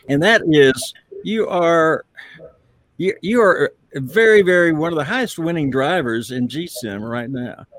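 A man's voice: on the high side, dry recording. A man hosting a podcast interview, asking long, winding questions in a casual way. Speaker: podcast host.

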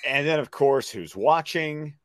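A man's voice: a little high, animated, a little slow, dry recording, clean studio sound. A man giving casual fan commentary as a co-host, chatty and repeating points for emphasis. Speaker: podcast co-host.

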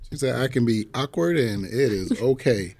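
A man's voice: mid-range voice, lively intonation, moderate pace, gravelly, a little mellow. A middle-aged man hosting a conversational interview, encouraging and echoing back what his guests say. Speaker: talk show host, school superintendent.

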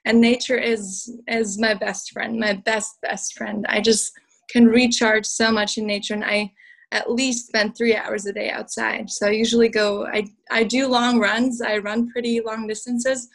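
A young woman from southern Switzerland talks casually as a podcast guest, in a fairly high voice with a flat melody. She drifts along with plenty of ums and likes. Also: measured pace, vocal fry, poor audio. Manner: relaxed, uses um and like often. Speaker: guest, cookbook author. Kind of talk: casual interview talk.

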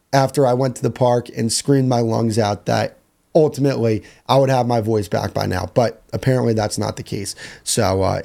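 Hoarse young man, dark casual voice. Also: medium pitch. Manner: casual, rambling, repeats phrases. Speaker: podcast host. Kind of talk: solo sports podcast talk.

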